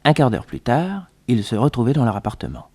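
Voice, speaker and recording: An actor narrating a French radio detective serial as a storyteller who takes part in the adventure. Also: male voice, mid-range voice, huge pitch swings, fairly quick, a little mellow. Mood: measured, storytelling. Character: young male detective sidekick telling the adventure.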